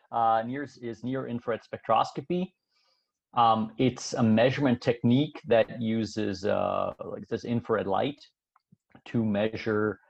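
A man who grew up in Canada, a sports scientist guest on a podcast, explaining his work in a relaxed way full of fillers and restarts. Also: on the low side, gravelly, breathy edge, muffled recording. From Switzerland, raised in Canada. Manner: relaxed, thinking aloud with many fillers and restarts. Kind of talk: casual interview explaining science.

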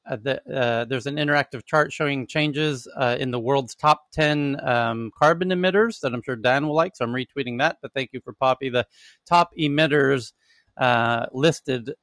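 A man's voice: medium pitch, normal speed, a bit bright, no echo. A man hosting a casual live audio chat about tech news, talking in a loose, rambling way with many fillers and restarts. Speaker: host.

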